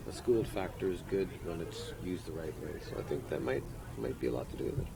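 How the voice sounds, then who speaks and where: A man's voice: sits low, expressive, quick pace, vocal fry, dark tone, rough recording. A middle-aged man from Canada, a rock band member, chatting casually in an interview. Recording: busy festival background chatter.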